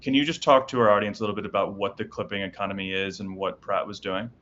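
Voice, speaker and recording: Male news host, lower voice, brisk headline read then interview questions, slightly dull sound. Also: fairly even intonation, fairly bright tone, slight background noise. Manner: brisk, reads headlines then asks follow-ups with frequent backchannels.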